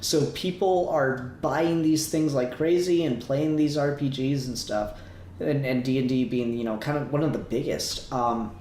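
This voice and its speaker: A man's voice: mid-range voice, unhurried, a little mellow. A man casually discussing a review as a podcast co-host, rambling and thinking aloud as he goes. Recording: roomy echo, clear background noise.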